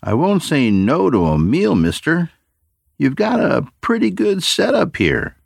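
Man putting on a brash, higher-pitched teenage boy. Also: wildly swooping pitch, measured pace, gravelly, dry recording, clean studio sound, full character voice. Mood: falsely friendly. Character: cocky, scheming teenage boy with a big blade.

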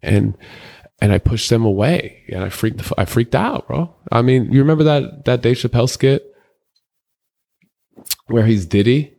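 A young man with a deep voice, talking loosely in slang as an interview guest, rambling through candid stories. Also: animated, normal speed, vocal fry, pauses often, dark tone. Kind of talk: casual candid interview talk.

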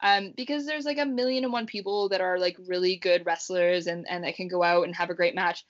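A woman's voice: fairly low pitch, fairly even intonation, brisk. A young woman guest talking casually in an interview, rambling and saying "like" a lot. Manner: rambling, heavy use of "like". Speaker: guest, pro wrestler. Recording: dull lo-fi remote audio.

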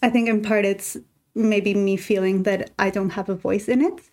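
A woman hosting a podcast who asks curious, gentle questions. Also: medium pitch, fairly even intonation, normal speed. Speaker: podcast host. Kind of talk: interviewing in a podcast conversation.

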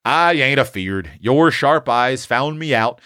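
A man playing a cheeky young country giant, lively drawl. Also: mid-range voice, takes it slowly, smooth, no room sound, studio quality, pitched above the performer's own voice. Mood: teasing, bold. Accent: rural American dialect. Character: breezy young country giant, a teasing prankster.

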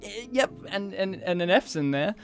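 High-voiced joking man. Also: lively intonation, normal speed, music in the background. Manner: jokey, laughs often, rambling. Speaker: podcast co-host. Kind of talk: casual comedic banter.

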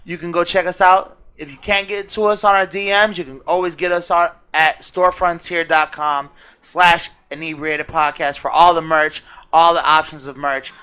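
An American man hosting a podcast in casual, slangy, excitable banter with his co-host, swearing freely. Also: fairly high pitch, moderate pace, clean tone.

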